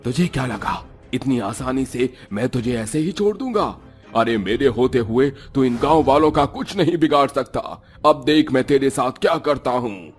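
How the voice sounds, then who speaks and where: A man's voice: mid-range voice, expressive, normal speed, faint music behind, natural voice. A man voicing a confident, defiant village holy man in a horror cartoon. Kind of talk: Hindi cartoon acting.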